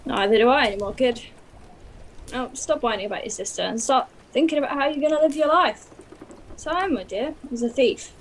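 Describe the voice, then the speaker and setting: A woman playing a morbid, deadpan old grandmother. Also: sits high, fairly quick, a little hiss or hum. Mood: wry. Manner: deadpan, sardonic quips. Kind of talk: stage duologue.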